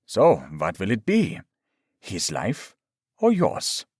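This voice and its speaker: A man voicing a menacing male doctor villain who speaks with smug calm, coaxing and mock-tender. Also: on the low side, slow, breathy edge, dry recording, studio quality, heavily acted. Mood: calm menace.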